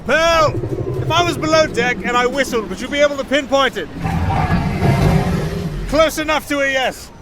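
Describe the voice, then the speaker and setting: A man's voice: very high voice, normal speed, long pauses, a loud crowd, heavy background noise. A man playing a roguish, wry young musician adventurer in a fantasy audio roleplay, bantering with some hesitation. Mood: urgent calling.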